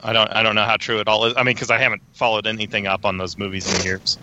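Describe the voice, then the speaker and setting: A man co-hosting a podcast, talking casually about movies in a relaxed, conversational way with frequent fillers. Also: lowish voice, rapid-fire, highs cut off, noisy background. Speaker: podcast co-host. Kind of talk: casual film discussion.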